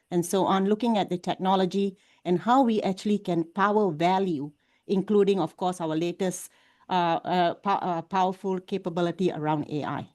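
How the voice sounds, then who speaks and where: A woman's voice: medium pitch, mellow. A woman introducing herself as a panelist in a business panel discussion, fluent with occasional fillers.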